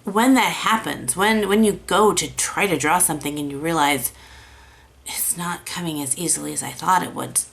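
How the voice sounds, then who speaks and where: A woman with a raspy voice gives a chatty, encouraging watercolor lesson. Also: on the low side, moderate pace, fairly bright tone. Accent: American. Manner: encouraging, chatty, self-deprecating. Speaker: watercolor art teacher. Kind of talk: casual tutorial talk.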